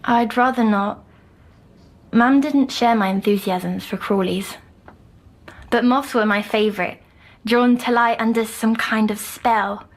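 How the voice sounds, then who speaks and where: A woman playing a timid, dreamy young girl patient who recounts her nightmares in a hushed audio drama. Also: a little high, steady tone, measured pace, long pauses. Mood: wistful, guarded.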